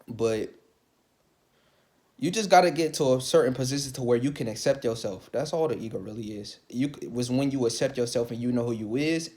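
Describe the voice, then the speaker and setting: A man ranting casually to the camera, swearing often, repeating himself and rising to loud, excited outbursts. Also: mid-range voice. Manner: calls his own voice light. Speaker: vlogger.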